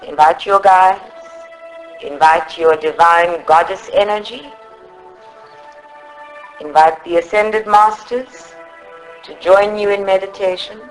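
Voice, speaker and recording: A woman from South Africa leading a guided meditation in a soothing, instructive manner, with long pauses between short phrases. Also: medium pitch, faint music behind, low-quality recording. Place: live group meditation session at a centre.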